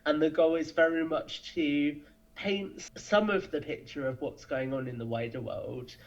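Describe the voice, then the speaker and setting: A man's voice: on the high side, fairly even intonation, moderate pace, muffled recording. A man giving conversational interview answers as a podcast guest, explaining things with enthusiasm. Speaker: podcast guest, audio drama creator.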